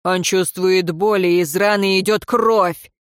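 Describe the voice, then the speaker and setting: A woman voicing an irritable highborn lord in a fantasy audiobook, harsh and commanding, snarling out orders. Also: steady tone, a little slow, dry recording, clean studio sound, natural voice. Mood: grim. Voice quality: hoarse. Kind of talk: dramatic fantasy audiobook character.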